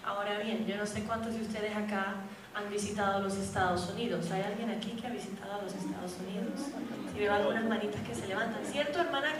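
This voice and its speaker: A young woman giving a lively, humorous church testimony and speaking directly to the congregation. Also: on the high side. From raised in Puerto Rico. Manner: lively storytelling, addresses the audience directly, with humor. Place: church hall. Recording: echoey hall, distant mic, noisy.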